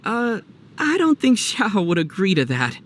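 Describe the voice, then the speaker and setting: Young traveler hero for a game: calm but melodic, lightly teasing, noisy ambience behind. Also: male voice, high-pitched, measured pace, full character voice. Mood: wry. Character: youthful male traveler, gentle, teasing, level-headed hero. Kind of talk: video game character acting.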